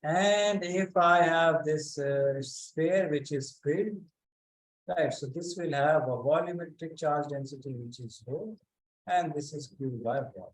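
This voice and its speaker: A man teaching a physics class online, speaking haltingly and calling on students by name to check that they follow. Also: on the high side, rough recording.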